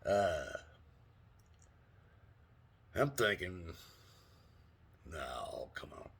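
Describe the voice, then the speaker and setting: A man's voice: deep voice, very animated, extremely slow, creaky, a bit bright, breathy edge. A man in his sixties telling a rambling, folksy story in a casual vlog, laughing often and cursing mildly. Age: 60s. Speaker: vlogger.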